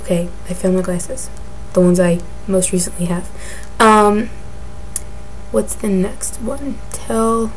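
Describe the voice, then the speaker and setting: A woman in her twenties with a raspy voice from a cold, rambling casually to a webcam in her bedroom with lots of ums and likes. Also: mid-range voice, slight background noise, rough recording. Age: 20s. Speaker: beauty vlogger. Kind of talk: casual chat answering a tag. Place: bedroom, indoors. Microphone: webcam at desk.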